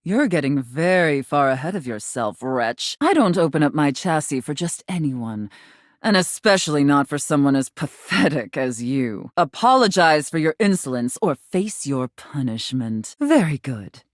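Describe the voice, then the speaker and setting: A woman's voice: low voice, lively intonation, normal speed, dry recording, studio quality. A woman voicing a haughty, domineering mistress character in a video game, imperious and scornful, savoring key words. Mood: haughty, menacing. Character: haughty, domineering female safe with a dominatrix persona.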